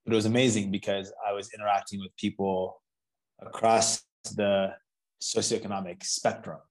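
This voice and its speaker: Chill guy in his 30s, kinda low voice, chatting as a podcast guest, lots of I, I, uh. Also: moderate pace, low-quality recording. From Canada and Florida, USA. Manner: relaxed, conversational, with hesitant restarts. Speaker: company founder and guest. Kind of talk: podcast interview.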